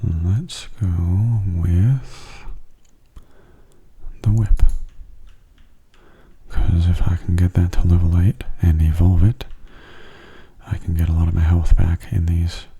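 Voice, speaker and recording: A man speaks very softly and huskily, with a low, dark, mellow voice that sits close to a whisper. He gives calm, understated gameplay commentary in an ASMR style, never hurrying and keeping his delivery gentle. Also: takes it slowly, long pauses. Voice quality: soft, breathy, often near a whisper. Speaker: gaming video host.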